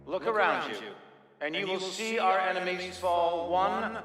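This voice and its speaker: Male game villain-orator, raised high voice, measured and grand, booming through long reverb. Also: fairly bright tone. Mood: rousing, resolute. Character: commanding male leader rallying a city's citizens. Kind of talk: propaganda speech. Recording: echoey hall with long reverb, music.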